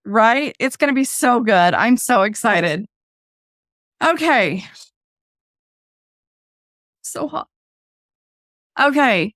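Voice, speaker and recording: A woman with a fairly high voice hosts a relaxed podcast chat, talking easily while her voice is starting to go. She sounds close and dry on her own desk microphone at home. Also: drawn-out words, long pauses, no echo. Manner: laid-back, chatty. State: losing her voice. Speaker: podcast host. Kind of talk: casual chat. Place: home room with blinds closed.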